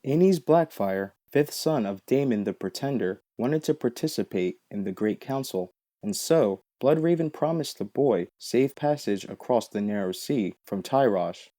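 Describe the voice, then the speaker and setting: A man's voice: mid-range voice, expressive, normal speed, hardly any pauses, dark tone. A man giving scripted, documentary-style narration of fantasy lore in an explanatory, matter-of-fact way.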